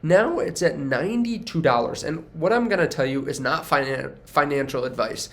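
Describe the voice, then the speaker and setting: A man's voice: a little high, moderate pace, a bit bright. A young man with a congested, stuffy voice, talking casually to camera as a finance video host, rambling and correcting himself as he goes. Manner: rambling, self-correcting, upbeat. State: congested from a cold.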